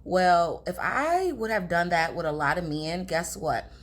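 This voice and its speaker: A young woman with a raspy, hoarse voice, chatting casually to her viewers about relationships. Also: lowish voice, very animated, unhurried. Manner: chatty, confiding, addresses viewers as girls. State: hoarse from talking all day. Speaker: relationship advice vlogger. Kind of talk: casual advice talk to camera.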